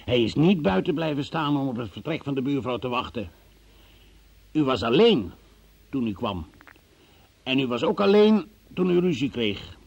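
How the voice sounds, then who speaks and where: A stern examining magistrate in a radio play. His husky, creaky voice stays formal and deliberate as he accuses. He is cold, exacting and relentless. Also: medium pitch, big pitch swings, a little mellow, full character voice. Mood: accusing, stern. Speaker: radio drama actor. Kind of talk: Dutch radio play interrogation acting.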